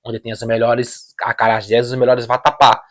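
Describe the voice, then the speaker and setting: A man with a Brazilian Portuguese accent talks through his views on camera. His voice sits in a middle pitch, and he leans on repetition and restarts as he makes his point. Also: flat delivery, normal speed. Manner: emphatic, repeats phrases, restarts sentences. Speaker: reaction video host. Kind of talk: casual opinion commentary.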